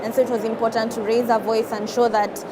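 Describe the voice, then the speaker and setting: A woman in her twenties from Kenya, answering interview questions in an earnest, measured way with frequent pauses and fillers. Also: on the high side, level tone. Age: 20s. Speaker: interview guest, climate activist. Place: studio at an outdoor event. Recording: loud background ambience and traffic noise.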